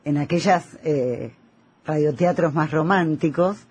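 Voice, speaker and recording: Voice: mid-range voice, measured pace, darkish tone, a little hiss or hum. A radio co-host with a Rioplatense Argentine accent, explaining things conversationally.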